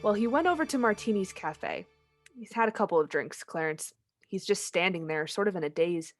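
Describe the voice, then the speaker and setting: A woman's voice: fairly low pitch, expressive, moderate pace, music in the background, lightly acted. A woman playing a senior heavenly being explaining events in an old-time radio play.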